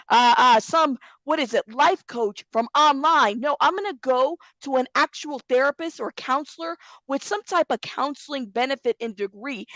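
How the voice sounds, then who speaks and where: Woman commentator on a heated, cursing rant, hammering points home; higher voice, dry close mic. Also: fairly bright tone, no echo. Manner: blunt, profane, repetitive, emphatic. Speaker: commentary channel host.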